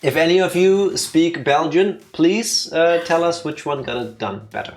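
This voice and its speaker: A man, talking casually as co-host of a game stream. He rambles and restarts his sentences, teasing his partner as he goes. Soft music plays under his voice. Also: a little high, normal speed. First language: Dutch. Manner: rambling, self-correcting, teasing. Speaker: let's play co-host. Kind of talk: casual chat over gameplay. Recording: faint music behind.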